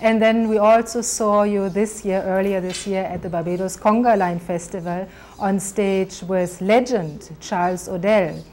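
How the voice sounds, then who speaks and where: A woman interviewer putting prepared questions to a guest in a measured, formal way. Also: mid-range voice, steady tone, a little mellow, slight background noise. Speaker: interviewer, TV host.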